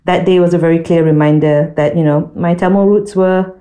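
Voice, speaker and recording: Low, mellow-voiced woman explaining. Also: steady tone, a little fast. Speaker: podcast co-host. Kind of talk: explanatory podcast discussion.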